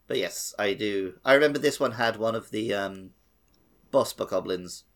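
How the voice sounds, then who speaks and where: Lowish, creaky-voiced man chatting. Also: moderate pace. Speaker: podcast co-host. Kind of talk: casual video game chat.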